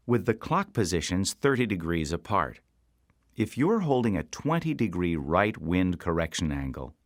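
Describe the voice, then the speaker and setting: A man reading scripted instructional narration as a training video instructor, in a measured, explanatory way. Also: low-pitched, dry recording, clean studio sound.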